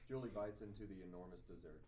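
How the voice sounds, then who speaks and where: A man's voice: low-pitched, quick pace, dark tone. Man narrating script directions in echoey room. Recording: echoey room, distant mic, faint noise.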